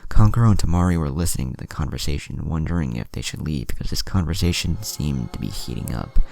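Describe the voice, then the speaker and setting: Casting: male narrator for fan fiction, very low, heavy vocal fry, animated intonation. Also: fast talker.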